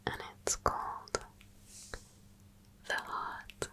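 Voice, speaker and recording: A woman speaking in a soft, breathy whisper with a slow, sleepy, soothing delivery, in the style of an ASMR meditation reading. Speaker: ASMR channel host.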